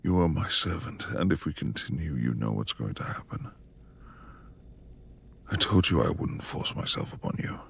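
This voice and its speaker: A man playing a reclusive, brooding lord of a manor in an intimate ASMR romance roleplay, speaking formally and haltingly in a gravelly, husky, hushed voice. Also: extremely low pitch, animated, exaggerated voice. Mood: tender, aroused. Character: reclusive brooding male manor lord, tender beneath gruff exterior.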